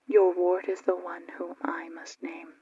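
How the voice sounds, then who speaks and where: A woman voicing a subservient magic mirror spirit in a fairy tale, speaking solemn archaic verse in a breathy whisper. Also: on the low side, level tone, warm tone, dry recording, highs cut off. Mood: solemn, ominous. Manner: slow, solemn rhyming verse in archaic wording.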